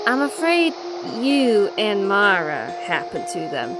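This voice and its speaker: A woman playing a calm, grave supernatural expert posing as a doctor in a radio drama, measured and gently apologetic. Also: mid-range voice, over music, poor audio.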